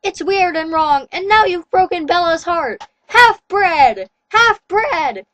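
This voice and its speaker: A woman voicing an unhinged, ranting male werewolf king who yells his lines slowly and emphatically, with a dry, close sound. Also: very high voice, dry recording, voice pushed up. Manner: ranting, yelling, slow emphatic.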